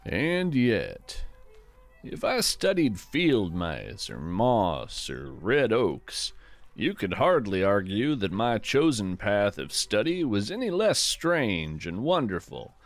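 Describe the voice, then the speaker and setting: A man playing a gentle, folksy naturalist who hosts a scripted fiction podcast, musing poetically and with wonder about the woods. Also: on the low side, huge pitch swings, no echo, faint music behind, full character voice. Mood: reverent wonder. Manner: reflective, poetic, unhurried musing. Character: gentle, wonder-struck folksy naturalist narrating a woodland walk. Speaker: podcast host.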